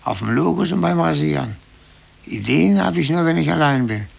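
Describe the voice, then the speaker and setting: An actor playing an idle, self-conscious office worker who muses and confides in a 1950s German radio drama. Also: male voice, fairly high pitch, lively intonation, moderate pace, creaky, husky, exaggerated voice. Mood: earnest, confiding. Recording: faintly noisy old cassette radio recording.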